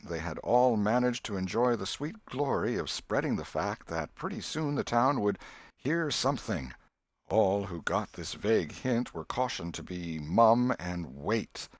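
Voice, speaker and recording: Male audiobook narrator, deep register, measured and expressive, close dry sound. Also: dry recording. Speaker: audiobook reader. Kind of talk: scripted narration of a classic novel.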